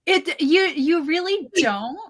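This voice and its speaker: A woman in a casual video-call chat, playful and quick to laugh. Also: extremely high pitch, expressive, a bit bright.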